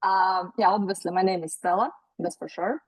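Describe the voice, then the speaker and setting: A woman's voice: lowish voice, steady tone, normal speed, no echo, low-quality recording. A woman from Latvia, explaining matter-of-factly as a guest on a webinar over a video call. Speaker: guest speaker. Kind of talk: webinar interview.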